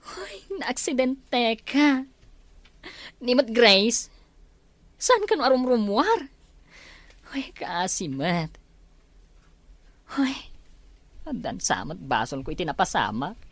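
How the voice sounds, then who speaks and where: A woman's voice: on the high side, big pitch swings, slow, pauses often, full character voice. A woman acting as an anxious, exclaiming friend fretting over a crisis in an Ilocano audio drama. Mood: worried, distressed.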